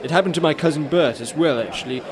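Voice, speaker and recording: An actor playing a haughty, bewildered Renaissance knight in a comic radio play, declaiming in archaic, self-important speech. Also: male voice, on the high side, fast talker, a crowd in the background, close to an everyday voice. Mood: haughty, curious. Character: pompous Renaissance knight, bewildered time traveller, haughty and literal-minded.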